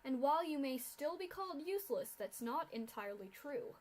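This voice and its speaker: A woman voicing a cruel, smug male pegasus official who speaks loudly and clearly to captives. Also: high voice, lively intonation, fairly quick. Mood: gloating. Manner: loud and clear, gloating.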